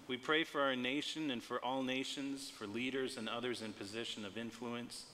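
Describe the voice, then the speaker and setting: A middle-aged man, a pastor, reading prayers in a measured, solemn and deliberate way. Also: mid-range voice, bright, forceful tone. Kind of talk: read liturgy and intercessory prayer. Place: church sanctuary, standing at the altar. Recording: long church reverb, clear background noise.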